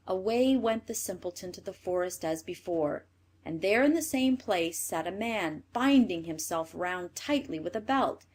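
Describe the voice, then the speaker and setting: Animated woman storyteller. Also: on the high side. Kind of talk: scripted fairy-tale narration.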